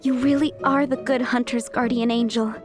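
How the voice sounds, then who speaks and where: A woman's voice: on the high side, steady tone, moderate pace, natural voice. An actress playing a young woman who runs a busy restaurant, earnest, flustered and businesslike, over game music. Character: young woman running a busy restaurant, harried but kind.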